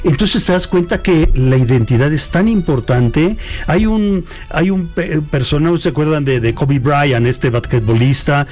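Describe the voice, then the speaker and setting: A man's voice: fairly high pitch, measured pace, a little mellow. A middle-aged Mexican man with a Mexican accent, answering an interviewer in a reflective, storytelling way with hesitant restarts. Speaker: guest. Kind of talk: conversational interview.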